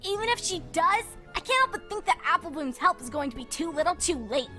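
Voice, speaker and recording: A woman's voice: very high voice, animated, quick pace, bright, forceful tone, soft background music, pitched above the performer's own voice, full character voice. A woman voicing a testy, blunt young tomboy pegasus filly in a cartoon fanfic reading. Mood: resigned.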